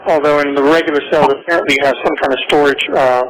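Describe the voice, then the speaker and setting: A man talking casually and haltingly, with many ums, on a recorded jail phone call. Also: on the high side, fairly even intonation, a crowd in the background. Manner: halting, hesitant phrasing full of ums.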